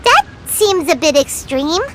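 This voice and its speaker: A woman voicing a small, childlike fairy companion in a video game, chatty, squeaky and full of curiosity. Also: very animated, a little slow, slight background noise, full character voice. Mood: surprised, puzzled. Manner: refers to self in third person, inquisitive.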